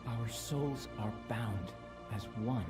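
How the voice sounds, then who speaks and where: Man playing a slow, serene self-sacrificing soul. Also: mid-range voice, loud music behind the voice, lightly acted. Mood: solemn resolve. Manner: solemn, measured. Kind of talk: video game cutscene acting. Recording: loud game score over voice.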